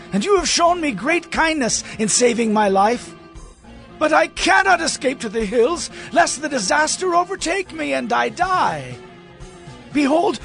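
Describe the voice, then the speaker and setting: A man's voice: very high-pitched, fairly bright tone, exaggerated voice. An actor playing a frightened, pleading family man in a biblical audio drama, urgent in manner. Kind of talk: audio drama Bible acting.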